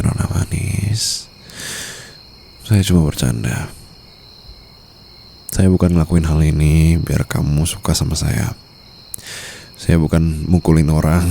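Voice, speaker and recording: A man with an Indonesian accent acting a smitten, protective mafia boss in an intimate ASMR boyfriend roleplay, murmuring softly and teasingly. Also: a bass voice, creaky, heavily acted. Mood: apologetic, tender. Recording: faint night-time insect ambience noise.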